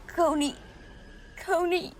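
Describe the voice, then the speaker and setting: A woman's voice: extremely high pitch, steady tone, slow, faint music behind. An actress playing a young woman dying in agony, crying out in pain as her body burns and rots, for a fan audio drama. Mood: faint dying. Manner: screaming, then faint and broken.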